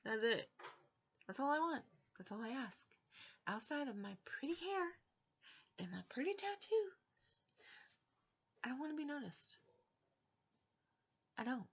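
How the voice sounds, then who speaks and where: A woman's voice: high voice, very animated, a little slow, long pauses, slightly rough. A young woman, talking frankly and with exasperation in a personal vlog from her bedroom. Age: young adult. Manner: frank, exasperated, blunt asides. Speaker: vlogger. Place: bedroom, indoors. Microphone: webcam at desk. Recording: dull lo-fi webcam audio.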